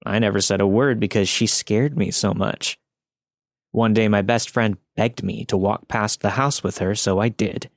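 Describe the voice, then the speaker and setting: A man's voice: on the low side, fairly even intonation, normal speed, dry recording, studio quality. A man reading a scripted first-person spooky story as a podcast narrator. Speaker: podcast host reading listener stories.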